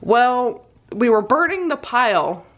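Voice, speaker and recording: Amused woman storyteller with a high-pitched voice. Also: slow. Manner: amused, self-deprecating, animated storyteller. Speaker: vlogger and soap maker. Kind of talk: casual personal storytelling voice-over.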